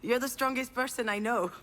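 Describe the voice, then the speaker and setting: A woman's voice: a little high, fairly bright tone, close to an everyday voice. Earnest woman, acted, over background noise. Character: self-deprecating, conciliatory woman reasoning with her sister. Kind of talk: dramatic game dialogue.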